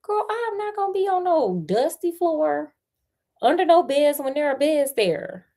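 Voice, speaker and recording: A woman's voice: high-pitched, big pitch swings, normal speed, dark tone. An American woman reading a fairy tale aloud, breaking off into sassy, chatty, colloquial joking commentary. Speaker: storyteller and host.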